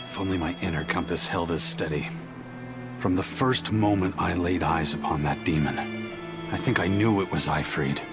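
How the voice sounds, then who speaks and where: Brooding, haunted pirate played by a man; low, measured, terse game-cutscene delivery over loud music. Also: lightly acted. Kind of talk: English-dubbed video game cutscene acting. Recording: dramatic game music behind the voice.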